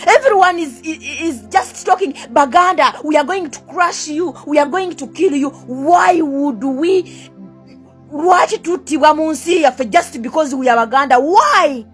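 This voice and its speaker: Woman, Ugandan accent, high voice, furious rant, repeated rhetorical questions, swearing, faint music behind. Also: unhurried. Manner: heated, repeats rhetorical questions, switches between two languages; swears and sneers at critics. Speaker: vlogger. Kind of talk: angry rant to camera.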